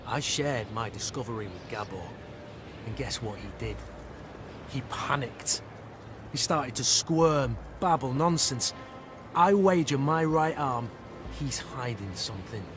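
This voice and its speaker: A man's voice: medium pitch, lively intonation, measured pace, music in the background, close to an everyday voice. A man playing a sly, witty rogue in a video game, speaking in an eloquent, sardonic, teasing way. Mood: knowing. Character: sly, roguish, witty outlaw adviser to queen. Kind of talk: scripted video game voice acting.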